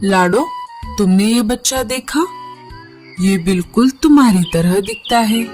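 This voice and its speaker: A woman's voice: medium pitch, animated, unhurried, music in the background, close to an everyday voice. A woman voicing a friendly, concerned male crow, a big-brother figure in a children's cartoon. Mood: friendly, curious.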